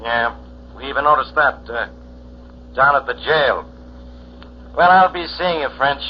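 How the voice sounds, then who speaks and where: Actor as male police inspector, casual, dry, medium pitch, old radio crime drama, muffled with faint hiss. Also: a little slow, natural voice. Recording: muffled, hissy 1940s radio recording.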